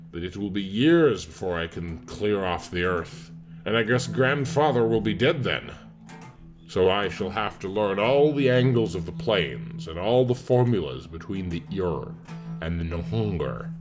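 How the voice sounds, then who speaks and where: A man's voice: low voice, voice pushed down, exaggerated voice. A man voicing an eerie, precocious country boy sorcerer reading his diary with rustic, unschooled diction in a horror audiobook.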